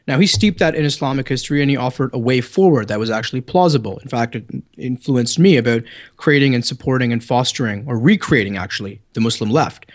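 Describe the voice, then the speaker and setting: A man's voice: medium pitch, fast. A man with a Canadian accent debating as a podcast guest, assertive, emphatic and combative. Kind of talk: heated debate.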